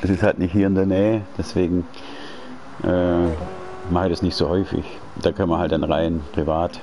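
A very deep-voiced man in his late forties talks loosely and at length, using slang and restarting his sentences. He is streaming from home, and loud race-car engine sounds from the game run under his voice. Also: moderate pace. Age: 40s. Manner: relaxed, rambling, talkative with slang and restarts. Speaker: sim racing streamer. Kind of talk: casual chat while gaming. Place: indoors at home. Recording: racing game engine noise behind.

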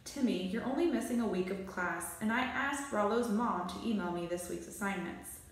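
A woman's voice: medium pitch, moderate pace, close to an everyday voice. A woman voicing a patient, practical, matter-of-fact mother in a children's storybook. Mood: exasperated. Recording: very echoey small bare room.

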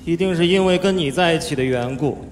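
A male voice actor playing a playful, chatty, feverish young swordsman in a live audio drama, whiny and coaxing with drawn-out words. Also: a little high, slight background noise, close to an everyday voice. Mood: bored, whiny. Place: large theatre stage, indoors. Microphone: handheld microphone. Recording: echoey large hall, music behind.

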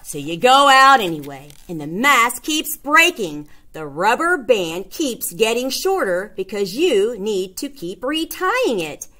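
A woman's voice: a little high, sing-song, a little slow, hardly any pauses, bright, forceful tone. A woman reading a children's picture book aloud, expressively and with emphatic delivery. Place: indoors, small classroom or office.

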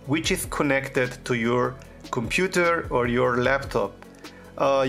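Male how-to host at a desk, mid-range gravelly voice, walks through steps with hesitant fillers. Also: mid-range voice, fairly bright tone. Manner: plain, patient instructions with frequent hesitations. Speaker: phone repair tutorial host. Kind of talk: step-by-step how-to narration.

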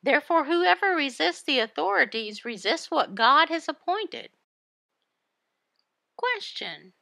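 A woman voicing a Bible devotional, explaining it phrase by phrase in a deliberate manner with pauses. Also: high-pitched, big pitch swings, gravelly, pushed and bright, dry recording, studio quality. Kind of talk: Bible teaching, voiced devotional.

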